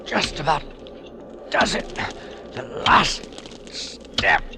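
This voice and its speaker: A man's voice: fairly high pitch, huge pitch swings, vocal fry, heavily acted. An actor playing a weary, fatalistic man in his fifties, brooding aloud in a noisy 1940s radio drama. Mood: straining, urgent. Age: 50s. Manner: brooding, dramatic, haltingly musing. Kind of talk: 1940s radio drama acting, interior monologue. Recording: noisy, hissy 1940s radio broadcast recording.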